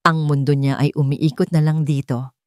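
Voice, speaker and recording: Low-voiced Filipino woman narrating drama. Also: level tone, normal speed, darkish tone, dry recording, studio quality. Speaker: storyteller.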